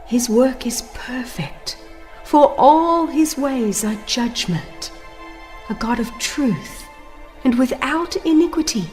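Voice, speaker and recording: A woman reading a religious text as a dramatized audiobook narration, solemn, emphatic and deliberate in delivery. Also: sits high, expressive, a little mellow. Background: music.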